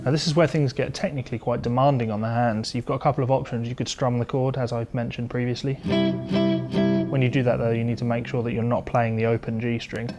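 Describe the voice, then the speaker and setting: A man's voice: medium pitch, little pitch movement, fast talker. Man teaching guitar, with playing behind. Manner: conversational, step-by-step explaining. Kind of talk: instructional guitar lesson, explanatory talk. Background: electric or acoustic guitar playing.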